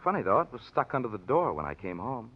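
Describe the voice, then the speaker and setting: An actor playing a proper, controlling husband in 1940s radio drama style, measured and mildly disapproving. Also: male voice, mid-range voice, big pitch swings, breathy edge. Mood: cool, cautioning. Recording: muffled vintage radio recording.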